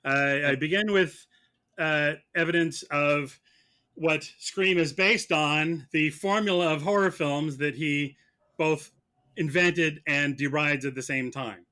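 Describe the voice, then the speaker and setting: Sarcastic guy being a joke prosecutor, bright tense voice, kinda high, stumbles along conversationally. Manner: sarcastic, halting. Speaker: mock-trial prosecutor on a film podcast. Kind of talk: mock courtroom argument, conversational.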